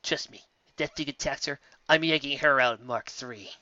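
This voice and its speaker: A man's voice: mid-range voice, moderate pace, pushed and bright, no room sound, far from the mic. Firm and matter-of-fact, as the man playing a protective unicorn. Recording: dull lo-fi audio.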